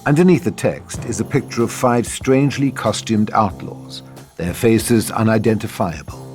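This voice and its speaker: A man narrates a script's scene directions in a scripted reading style. His voice is fairly low, and music plays clearly behind him. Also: normal speed, a little mellow.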